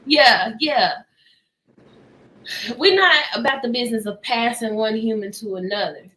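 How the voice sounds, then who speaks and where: A woman's voice: on the high side, measured pace, sharp tone, low-quality recording. An American woman co-hosting a book discussion in casual conversation, with informal, slangy phrasing. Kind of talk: casual chat. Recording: noticeable background noise.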